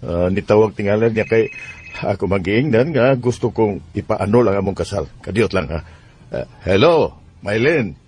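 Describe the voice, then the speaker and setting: Husky-voiced Bisaya father. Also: on the low side, expressive, normal speed, a little mellow. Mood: resolved. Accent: Bisaya Filipino. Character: fond, hurt father set on ending his marriage. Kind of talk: Bisaya radio drama acting.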